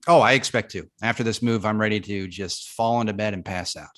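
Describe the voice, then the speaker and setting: A man's voice: lowish voice, a little fast, a bit bright, lightly acted. A man playing a sarcastic, grumbling roommate in his twenties at a comedy table read, stumbling over words in an everyday voice. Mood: tired, wry. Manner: sarcastic, stammering.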